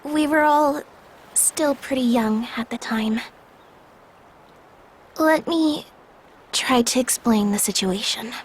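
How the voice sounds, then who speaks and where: An actress playing an earnest, wounded young woman in a video game, speaking softly and hesitantly with emotion. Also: fairly high pitch, slow pace, pauses often, a little hiss or hum, low-quality recording. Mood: pained recollection. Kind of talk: English video game voice acting.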